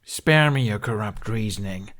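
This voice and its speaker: A man voicing a defiant, sarcastic young Viking dragon rider in an audiobook, sharp and contemptuous. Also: lowish voice, moderate pace, lightly acted.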